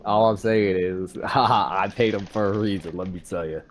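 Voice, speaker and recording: A man's voice: low voice, normal speed, a loud crowd. A young man in casual group banter during an online game, teasing and swearing in loose slang. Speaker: tabletop game player. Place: online voice chat during livestream.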